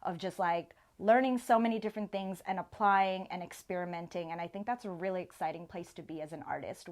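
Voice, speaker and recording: A woman hosting an interview show in a casual, conversational style, informal and effusive as she speaks. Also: lowish voice.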